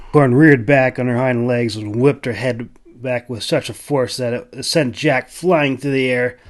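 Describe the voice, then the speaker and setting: A man reading scripted narration for a fantasy adventure audiobook. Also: mid-range voice. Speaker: author narrating his own audiobook.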